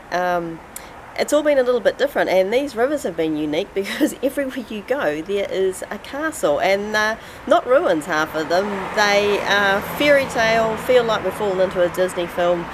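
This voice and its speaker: A middle-aged woman with a New Zealand accent, chatting casually as a travel vlogger. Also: medium pitch. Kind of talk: casual chat to camera. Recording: noisy outdoor and vehicle location sound.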